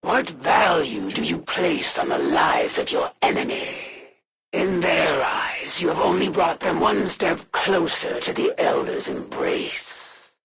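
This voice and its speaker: A woman playing a proud, cold female alien assassin in a video game, speaking in a formal, measured and condescending manner. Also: lowish voice, creaky, a bit bright, full character voice. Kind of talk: scripted game character voice lines.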